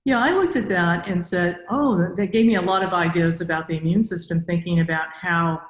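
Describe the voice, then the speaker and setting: A woman's voice: on the low side, moderate pace. A middle-aged woman hosting a podcast interview in a conversational style, recorded over a video call in an echoey room. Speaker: podcast host, robotics academic. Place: indoor room with bare walls.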